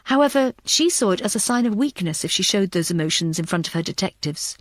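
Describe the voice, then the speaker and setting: A woman's voice: medium pitch, expressive, quick pace, no room sound. Woman narrating a thriller audiobook, dry recording.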